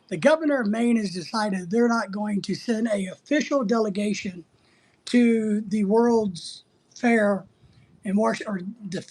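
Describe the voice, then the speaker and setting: Livestream host from the US, husky voice, rambling casual delivery, blunt and playful with commenters. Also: mid-range voice. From United States. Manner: blunt, teasing, sometimes breaking into playful exclamations. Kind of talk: casual rambling live chat, answering viewer comments.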